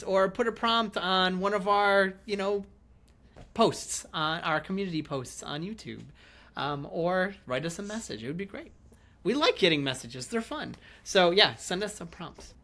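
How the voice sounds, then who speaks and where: A man with a bright, fairly high voice hosts a casual writing podcast. He talks informally and effusively, keeping the delivery loose and conversational. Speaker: podcast host and writer.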